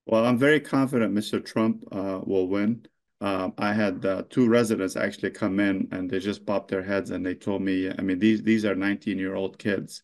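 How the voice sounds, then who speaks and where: A middle-aged man from Lebanon with a fairly low voice speaks off the cuff. He answers in a halting way, with many fillers, repeated words and restarts. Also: fairly even intonation, darkish tone. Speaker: mayor being interviewed. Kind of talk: unscripted interview answers.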